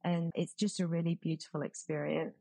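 A woman's voice: low-pitched, level tone, brisk, dark tone, dry recording. A woman guest speaking enthusiastically in a loose conversational way, with fillers like "like" and "you know". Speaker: filmmaker, guest. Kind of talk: conversational discussion. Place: Texas.

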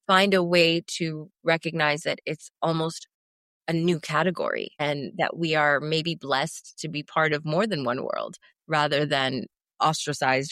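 Interview guest: woman, forties, low voice, reflective, dry close recording. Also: fairly bright tone, no room sound. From Afghanistan. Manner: reflective, thoughtful. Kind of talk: interview answers.

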